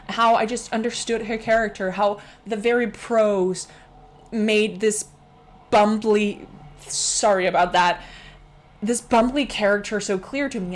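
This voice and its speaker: Enthusiastic Danish-accented woman raving. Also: mid-range voice. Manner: enthusiastic, rambling, frequent restarts. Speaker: book reviewer. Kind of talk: casual spoken book review.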